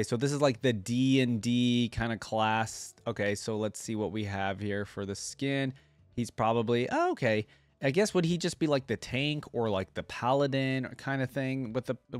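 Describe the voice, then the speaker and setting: A man's voice: mid-range voice, no room sound. A man giving casual reaction commentary, thinking aloud in informal, slangy language. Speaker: reaction video host.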